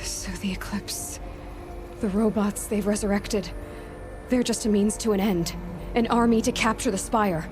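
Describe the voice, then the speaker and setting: A woman's voice: medium pitch, measured pace, music in the background, natural voice. An actress playing a determined, sceptical young female hunter in a video game, questioning in a guarded, pointed way. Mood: tense realisation. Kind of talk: dramatic video game acting. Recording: noticeable background noise and ambience.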